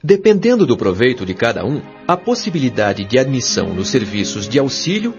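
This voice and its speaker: Man voicing wise spirit mentor, Brazilian accent, audio drama, lively intonation, didactic, solemn, articulate, muffled recording, soft music behind. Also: mid-range voice, fairly quick. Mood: calm, authoritative. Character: patient, authoritative male spirit guide and mentor. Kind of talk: audio drama acting, expository dialogue. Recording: dull lo-fi audio over music.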